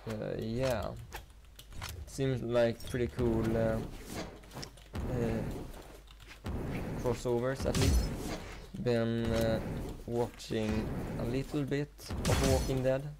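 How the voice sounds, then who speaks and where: A teenage man with a Swedish accent, a native Swedish speaker, chatting casually while playing a game, rambling with many fillers and pauses. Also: mid-range voice, flat delivery. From Sweden. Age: teens. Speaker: gaming YouTuber. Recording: loud noise behind the voice.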